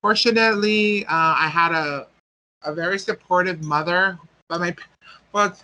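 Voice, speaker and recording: A man's voice: sits high, moderate pace, pushed and bright, poor audio. A man, telling a personal story as a guest speaker and often searching for words. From American Samoa.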